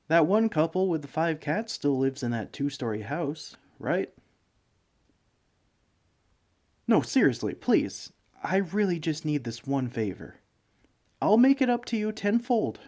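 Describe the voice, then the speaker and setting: A man acts out a broke, bumbling young male villain from next door in a comedy audio roleplay. He talks slowly, with pitch that swings widely, rambling sheepishly through hesitant asides as he angles for favors. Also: a little mellow. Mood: defensive, pleading. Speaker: roleplay voice actor. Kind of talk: scripted comedic audio roleplay, one side of a conversation.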